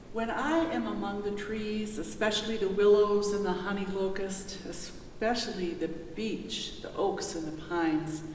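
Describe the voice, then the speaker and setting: A woman reciting poetry at a live reading with measured, deliberate phrasing. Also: medium pitch. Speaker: readers theater poetry reader. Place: reverberant church, live reading. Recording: distant, echoey church hall, faint noise.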